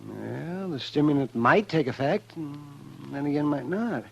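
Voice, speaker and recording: An actor playing a crusty, folksy old country doctor who hems and haws in a 1950s radio western. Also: male voice, a little high, expressive, measured pace, exaggerated voice. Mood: grave, matter-of-fact. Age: older. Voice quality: creaky, gravelly. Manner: hemming, folksy. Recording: dull vintage 1950s radio recording.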